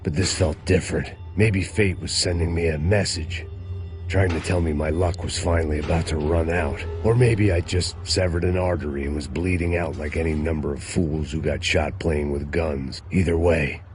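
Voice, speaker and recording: Real deep voiced washed-up american cop, middle aged, deadpan and bitter, noir game w music behind. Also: male voice, moderate pace. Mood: grim, sardonic. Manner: deadpan, sardonic, fatalistic. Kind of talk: hardboiled noir game narration and dialogue.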